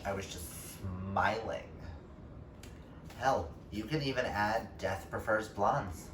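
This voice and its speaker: A man with a fairly low voice chats informally to his viewers as a book vlogger. He speaks slowly and expressively, with emphatic exclamations. The sound is roomy and echoey, picked up some way from the mic. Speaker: book YouTuber. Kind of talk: casual chatty vlog talk to camera. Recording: roomy echo, distant mic, faint noise.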